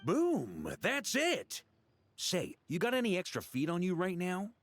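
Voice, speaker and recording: An actor playing a boisterous, friendly knight commander in a video game, hearty and playful, with music underneath. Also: male voice, sits high, huge pitch swings, a little slow, full character voice. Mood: excited.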